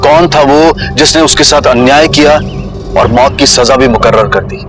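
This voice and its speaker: Grave male narrator over dramatic music. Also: mid-range voice. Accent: Indian. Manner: measured, grave, poetic. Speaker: host narrator. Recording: loud dramatic background music.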